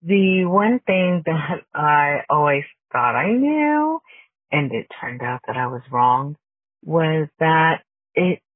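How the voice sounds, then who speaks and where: A woman's voice: sits low, husky, no echo. A woman from Texas giving a reflective, earnest answer in a recorded listener message. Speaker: listener caller. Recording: tinny phone line.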